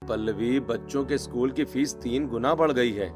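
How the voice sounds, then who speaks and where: A man's voice: mid-range voice, normal speed, music in the background, pitched below the performer's own voice, lightly acted. A man voicing a weary, burdened village farmer in a dramatized Hindi story. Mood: worried.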